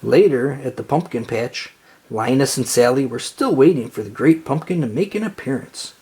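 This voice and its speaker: A storybook reader narrating aloud for children in an expressive read-aloud style. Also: mid-range voice, moderate pace, breathy edge.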